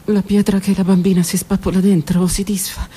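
A woman performing a theatrical one-woman monologue, delivered dramatically, moving between loud outbursts and hushed whispers. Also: mid-range voice, level tone, brisk, breathy edge. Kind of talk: theatrical monologue broadcast on radio.

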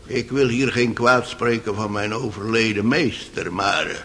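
An actor playing a dignified, stubborn old house servant in a radio drama, plain-spoken, folksy and respectful. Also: male voice, on the low side, measured pace, pushed and bright. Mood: earnest. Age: older.

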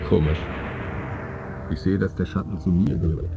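A very deep, gravelly, brooding loner. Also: male voice, normal speed, dull audio. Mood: eerie wonder. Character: bewildered, brooding male narrator lost among ruins. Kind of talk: scripted literary monologue in an audio play. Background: music.

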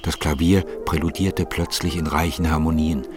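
A man reading scripted literary narration in a measured, formal manner. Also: extremely low pitch, fairly even intonation, over music.

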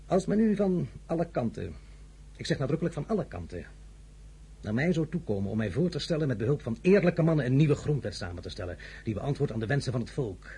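Husky, ambitious young general, animated delivery. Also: male voice, medium pitch, fast, exaggerated voice. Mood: persuasive, probing. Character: ambitious young French general Napoleon, persuasive, imperious. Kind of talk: dramatic audio drama acting.

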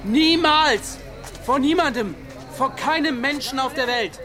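A man's voice: very high voice, lively intonation, measured pace, music in the background, a crowd in the background. An actor playing a touchy, proud, defiant young seaman who speaks confrontationally in a radio drama. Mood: hurt, angry.

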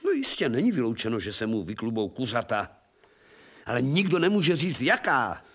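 An actor playing a weary, bitter, irascible old professor in a theatrical radio drama. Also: male voice, mid-range voice, sing-song, fairly bright tone. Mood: scornful, agitated. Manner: theatrical, swinging from resigned murmur to agitated exclamation.

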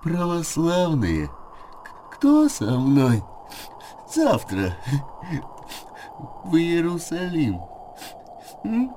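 Radio drama: frail old weeping monk, pleading, extremely slow, wildly swooping pitch, noisy background. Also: male voice, wildly swooping pitch, dark tone, full character voice. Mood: tearful, pleading. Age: older. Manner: drawn-out, pleading delivery. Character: tiny stooped tearful old monk calling the faithful.

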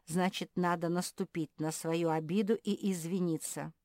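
A woman's voice: fairly low pitch, a little slow, dark tone, no echo, studio quality. A woman voicing an anxious male dog in a children's story, beseeching and insistent as a peacemaker. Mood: pleading.

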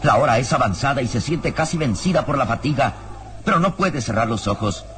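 A man's voice: medium pitch, expressive, fairly quick, fairly bright tone, a little hiss or hum. A man narrating an old radio serial in a solemn, suspenseful, theatrical style. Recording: old radio recording, music behind.

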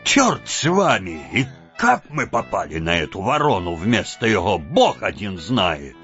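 A man's voice: lowish voice, huge pitch swings, a little slow, a bit bright, lo-fi sound, soft background music, full character voice. A man voicing frightened village constables who complain and plead with their superior.

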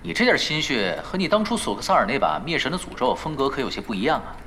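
An actor in a Chinese audio drama playing a laid-back veteran pro gamer, teasing and persuasive in an unhurried way. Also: male voice, medium pitch, very animated, bright, forceful tone, a little hiss or hum. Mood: probing. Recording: background music under the dialogue.

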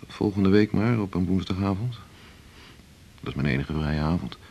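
A man's voice: deep voice, expressive, normal speed, creaky, mellow, highs cut off, slight background noise. An actor playing a cynical, soured male doctor in a radio drama, with dry, blunt, clipped delivery. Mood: dry, businesslike. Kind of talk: 1980s Dutch radio drama acting.

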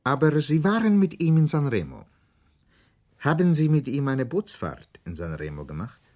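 An actor playing an older Italian police officer, polite and probing, in a radio drama. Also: male voice, medium pitch, big pitch swings, normal speed, mellow, close to an everyday voice. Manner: inquisitive, formal.

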